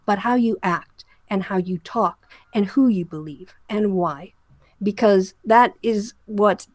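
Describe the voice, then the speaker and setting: A woman's voice: medium pitch, a little mellow, no room sound. A woman hosting a discussion show, giving emphatic, critical commentary that sounds like an opinionated rant with direct address.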